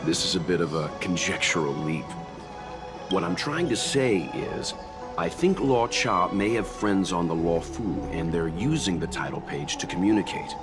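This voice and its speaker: An actor playing a composed, scholarly mentor in a video game, measured, courteous and analytical. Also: male voice, low voice, lively intonation. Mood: calm, reasoning. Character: composed, scholarly adult man, calm reasoning mentor. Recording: music under the voice.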